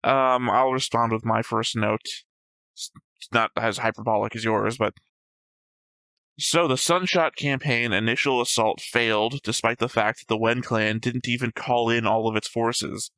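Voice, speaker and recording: Man, sleep-deprived, bright forceful voice, casual co-host chat, argumentative, self-correcting, dry close sound. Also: mid-range voice, fairly even intonation, moderate pace, dry recording, rough recording. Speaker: co-host of an anime reaction show. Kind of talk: casual discussion of episode notes.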